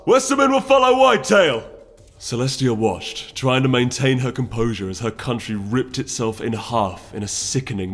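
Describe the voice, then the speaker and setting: A man reading a story aloud as dramatic, solemn and deliberate scripted narration. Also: mid-range voice. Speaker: story reader.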